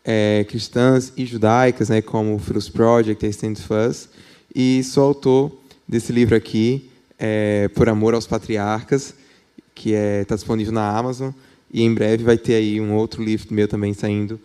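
A man with a Paraíba accent, a political scientist and professor, introducing himself as a guest in a measured, composed way. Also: lowish voice, flat delivery, dark tone, clear, resonant tone. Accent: Paraíba, Northeastern Brazilian. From Paraíba, Brazil. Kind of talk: formal self-introduction.

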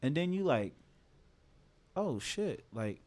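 A man's voice: fairly high pitch, big pitch swings, takes it slowly, a little mellow. A man in his twenties talking casually and candidly, swearing freely and often asking "you know what I mean?". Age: 20s. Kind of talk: casual chat.

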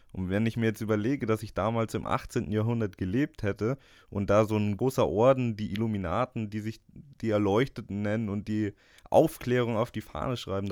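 A young man co-hosts a student podcast, easygoing and quick to laugh. His voice sits on the low side, recorded close and dry. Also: no room sound. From Bavaria, Germany. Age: young adult. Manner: relaxed, laughs easily. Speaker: podcast co-host, journalism student. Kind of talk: casual scripted podcast chat.

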